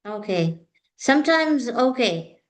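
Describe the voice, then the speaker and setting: High-voiced woman teacher. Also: sing-song, slow. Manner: encouraging, repeats "okay" often. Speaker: English teacher and host. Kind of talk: casual conversation practice.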